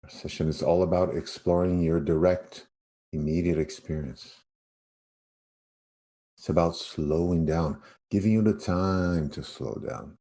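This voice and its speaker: Deep, mellow-voiced man guiding meditation. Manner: unhurried, with spacious pauses. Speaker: yoga nidra meditation teacher. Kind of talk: guided meditation.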